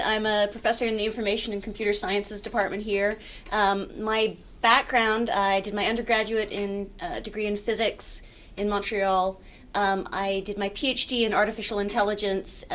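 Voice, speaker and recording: A woman's voice: mid-range voice, steady tone, moderate pace, fairly bright tone. Wry, chatty woman professor. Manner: wry, chatty, tells puns. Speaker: computer science professor on a panel. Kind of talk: panel introduction. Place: university lecture room with audience. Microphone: handheld mic.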